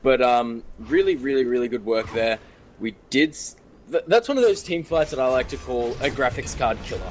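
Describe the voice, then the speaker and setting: Male, mid-pitched, esports caster, playful slang and banter, faint noise behind the voice. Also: lively intonation, normal speed. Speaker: esports commentator. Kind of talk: live game commentary with casual banter. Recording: clearly noisy background.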